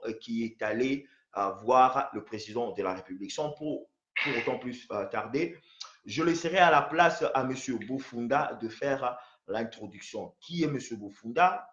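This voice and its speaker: Hesitant male host, lots of ums, roomy dull recording. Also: mid-range voice. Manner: hesitant, many fillers and restarts. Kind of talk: phone interview show.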